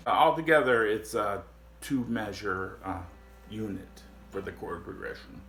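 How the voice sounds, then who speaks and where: A man with a deep voice giving a casual guitar lesson, explaining slowly with frequent pauses and fillers. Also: expressive, a bit bright. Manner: slow, halting explanations with many fillers. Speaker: guitar teacher. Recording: echoey room with guitar playing.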